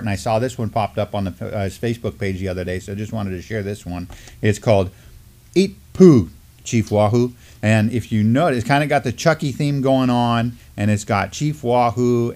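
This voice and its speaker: A middle-aged man co-hosting a community news show, talking casually and haltingly as he thinks aloud. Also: on the low side, a little mellow. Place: indoor studio desk, backdrop.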